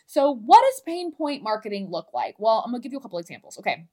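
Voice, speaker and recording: A woman's voice: fairly high pitch, sing-song, quick pace, warm tone. A woman with a lisp, hosting a solo podcast as a coach, talking in a frank, emphatic, conversational way and swearing freely. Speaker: podcast host and marketing coach. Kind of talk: candid solo podcast talk.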